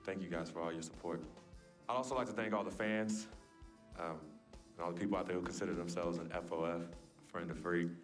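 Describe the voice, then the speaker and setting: An actor playing an earnest, grateful young basketball star giving a measured, formal speech in a video game cutscene. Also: male voice, low-pitched, fairly even intonation, soft background music, lightly acted. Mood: grateful, composed. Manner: measured, formal, deliberate public speaking.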